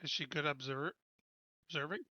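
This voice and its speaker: A man talks casually as a player in a tabletop game. His voice sits a little high and has a bright, forward edge. The recording is dry and a bit muffled. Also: brisk, no echo. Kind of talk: casual chat.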